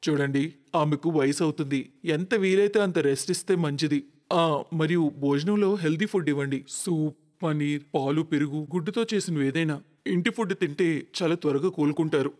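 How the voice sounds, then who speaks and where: Man acting a sober higher-pitched doctor. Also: moderate pace, a little mellow, close to an everyday voice. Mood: calm advising. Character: advising male doctor, sober and practical. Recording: long reverberant hall echo.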